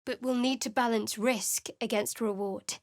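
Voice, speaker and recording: A woman's voice: fairly high pitch, fairly even intonation, normal speed, no echo, lightly acted. A woman voicing a guarded, sardonic young cleric adventurer in a video game, cool and wry, recorded on a close studio mic. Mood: cautious.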